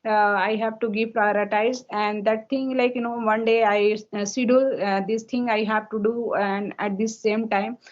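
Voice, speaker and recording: Hesitant Indian woman, high voice. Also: steady tone. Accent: Indian English. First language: Hindi. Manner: halting, many fillers and restarts. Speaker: English learner, homemaker. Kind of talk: spoken English practice conversation. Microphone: online call. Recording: dull lo-fi call audio.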